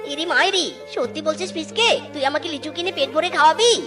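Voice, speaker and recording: A woman's voice: sits high, over music, lightly acted. A woman voicing a greedy, babyish young male animal in a children's cartoon, whiny and wailing. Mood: greedy glee. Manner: wailing, drawn-out childish delivery.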